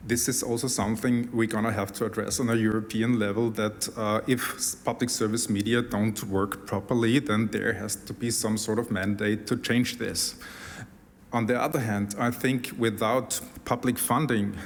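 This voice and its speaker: A man speaks as a panel guest in a flat, even tone, pitched a little low. He argues earnestly and pauses often on uh. The long reverb of a big hall follows each phrase. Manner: earnest, frequent uh fillers. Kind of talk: panel discussion argument.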